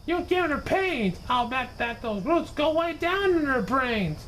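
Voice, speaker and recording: Man putting on an excitable high-voiced schoolboy. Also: unhurried, slight background noise, heavily acted. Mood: insistent. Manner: exclaiming, insistent. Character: excitable outspoken schoolboy, storybook classmate.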